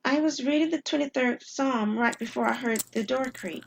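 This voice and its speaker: A woman's voice: sits high, moderate pace, creaky, a little hiss or hum, pitched above the performer's own voice. A woman playing an earnest, devout wife in an audio drama, speaking in a sincere, coaxing way. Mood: gently urging.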